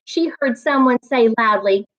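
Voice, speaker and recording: A woman reading aloud and teaching as a storytime reading coach, with deliberate, emphatic phrasing meant for young listeners. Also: on the high side, fairly bright tone, dull audio. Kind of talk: expressive children's read-aloud and teaching.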